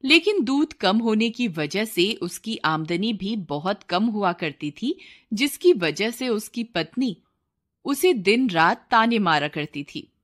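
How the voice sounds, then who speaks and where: A woman's voice: medium pitch, sing-song, takes it slowly, a bit bright. A woman storyteller reading scripted narration for a children's moral story.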